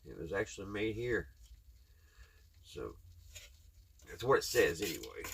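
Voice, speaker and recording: Kentucky guy with a southern accent, kinda low voice, folksy rambling hobby vlog talk. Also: sing-song, measured pace, creaky, fairly bright tone, a little hiss or hum. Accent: Kentucky Southern American. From Kentucky, United States. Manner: folksy, plainspoken, addresses viewers as guys. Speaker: host of a hobby repair video channel.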